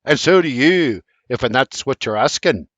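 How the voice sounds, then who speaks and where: A man's voice: medium pitch, animated, normal speed, fairly bright tone, no room sound. A man voicing a fatherly, joking old Scottish nobleman in broad dialect. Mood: hearty. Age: older. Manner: boisterous, teasing, broad dialect.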